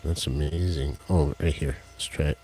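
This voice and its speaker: A man with a deep voice, co-hosting a casual live stream and joking as he fiddles with his tech. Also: steady tone, moderate pace, dark tone, a little hiss or hum. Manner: rambling, jokey, fiddles with tech while talking. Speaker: co-host of a live stream show. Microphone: headset with headphones.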